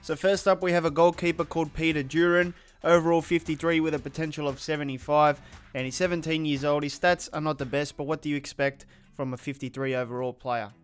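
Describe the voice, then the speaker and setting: A man's voice: fairly high pitch, fairly even intonation, a little fast, smooth, soft background music. A man hosting a gaming channel with a slight sore throat, running through update stats in a casual, matter-of-fact way. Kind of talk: video game update rundown commentary.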